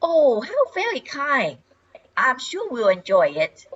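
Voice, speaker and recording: An older woman playing a polite, confident thirties housewife new to the neighborhood in an amateur radio comedy, husky and genteel, on slightly dull audio. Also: high voice, wildly swooping pitch, slow pace, fairly bright tone, heavily acted. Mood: polite, pleasant.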